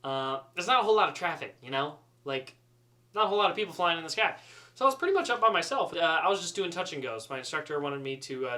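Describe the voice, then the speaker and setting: A man telling a casual, humorous story in an animated, chatty, self-deprecating way. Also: on the high side. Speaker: student pilot storyteller.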